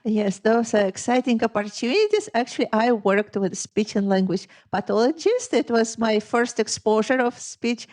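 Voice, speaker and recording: A woman with a Lithuanian accent hosting an interview, asking questions in a measured way with hesitant fillers. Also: fairly high pitch, expressive, a little mellow. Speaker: podcast host. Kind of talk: interviewing a guest.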